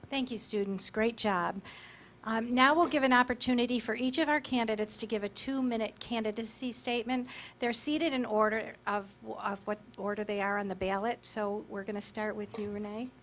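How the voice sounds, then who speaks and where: A woman moderating a public forum in a brisk, friendly way. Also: fairly high pitch, steady tone, a bit bright, slight background noise.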